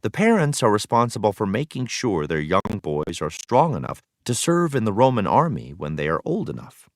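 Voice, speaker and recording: Low-pitched man reading aloud with lively intonation. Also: normal speed, dry recording, studio quality. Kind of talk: read-aloud narration of a children's history text.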